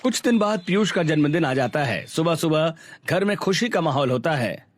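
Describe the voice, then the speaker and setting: A man narrates a scripted storybook tale in a slightly high voice. Faint music plays behind him as he tells it in the manner of a cartoon storyteller. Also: animated, normal speed.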